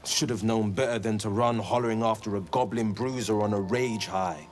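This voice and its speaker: An actor playing a vengeful, brooding young heroic monster hunter with grandiose, theatrical delivery in a video game. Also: male voice, fairly low pitch, fairly even intonation, moderate pace, natural voice. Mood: bitter, brooding. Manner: theatrical, declamatory. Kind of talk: dramatic video game dialogue acting. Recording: loud music bed behind the voice.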